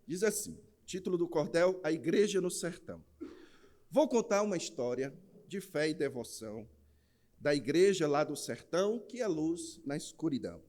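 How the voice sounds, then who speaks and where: A man's voice: fairly high pitch, normal speed. A man with a Northeastern Brazilian accent preaching in church, opening with a jokey rhymed verse before an earnest sermon. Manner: jokey rhyming recitation, then steady earnest preaching. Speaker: pastor. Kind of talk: sermon with a humorous rhymed recitation.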